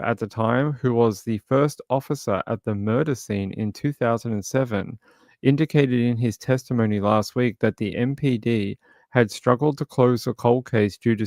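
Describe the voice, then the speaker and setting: Gravelly-voiced man, low and dry. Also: no room sound. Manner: halting, many fillers and restarts. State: crackly voice. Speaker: true crime livestream host. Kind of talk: casual livestream commentary, reading chat.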